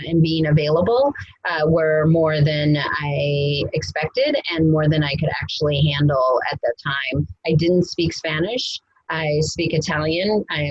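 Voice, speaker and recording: Husky low-voiced woman, dull lo-fi sound. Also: no echo. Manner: reflective, searching for words with fillers. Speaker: interview guest, adoption professional. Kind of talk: TV interview answers.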